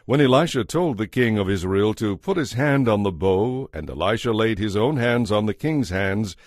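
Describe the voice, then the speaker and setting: A man giving a measured, formal scripted narration for a dramatized audio Bible, as narrator. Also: fairly low pitch, expressive, no room sound, studio quality.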